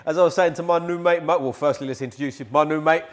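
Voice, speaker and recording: A man's voice: on the high side, steady tone, a little hiss or hum. A young man hosting a podcast in a martial arts gym, chatting casually and joking with a guest. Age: young adult. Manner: quick, joking, laughs often. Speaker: podcast host. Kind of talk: casual interview chat.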